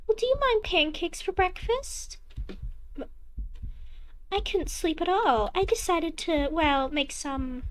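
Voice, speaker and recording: A woman's voice: high-pitched, a bit bright, voice pushed up, heavily acted. A woman voicing a doting middle-aged mother returned from the dead, sweet and chirpy in a motherly way.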